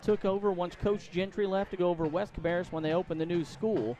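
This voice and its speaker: High-pitched man calling football play-by-play. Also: fast, mellow, poor audio. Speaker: play-by-play announcer. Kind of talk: live high school football play-by-play commentary.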